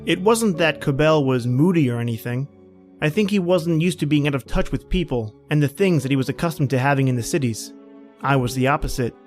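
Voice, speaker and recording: A man playing a calm, plain-spoken surveyor in a radio drama, narrating and talking through a lonely Arctic winter. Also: on the high side, a little fast, soft background music, lightly acted. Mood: reflective, grave.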